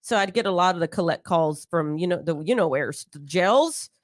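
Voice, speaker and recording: A woman's voice: on the low side, lively intonation, normal speed, dry recording, studio quality. A woman in her thirties with a playful, giggly manner, chatting casually as host of a live talk. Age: 30s. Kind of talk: casual live chat with co-hosts.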